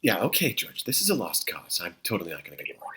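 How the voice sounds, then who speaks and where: A man voicing a wisecracking, sarcastic young friend in a comic table read, with dry asides and hushed exasperation. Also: sits low, big pitch swings, quick pace, full character voice. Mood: exasperated.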